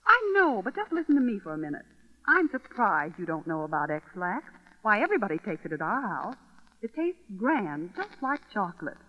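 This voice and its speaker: An actress playing a cheerful, reassuring housewife chatting with a friend, in the style of a vintage radio commercial. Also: female voice, medium pitch, big pitch swings, moderate pace. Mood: chatty, reassuring. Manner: bubbly, emphatic, reassuring. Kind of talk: 1940s radio commercial acting. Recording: dull vintage radio recording.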